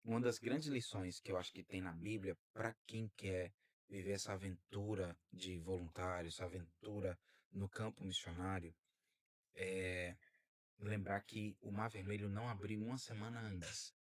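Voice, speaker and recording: A man hosting a podcast interview, talking in a lively way and drifting into preacherly asides. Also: low-pitched, normal speed. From Brazil. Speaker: podcast host. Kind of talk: casual interview.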